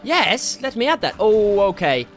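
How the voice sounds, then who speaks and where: A man giving casual, excitable commentary while playing a video game, jokey and slangy, with exclamations and swearing. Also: sits high, a little slow. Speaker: gaming video host. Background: game music.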